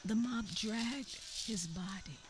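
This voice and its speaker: Slow, dramatic woman storyteller. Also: background noise. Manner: slow, halting, with repeated words and sudden swings in pitch and loudness; drops to a whisper at times. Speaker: narrator in a radio play. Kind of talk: dramatic spoken-word storytelling.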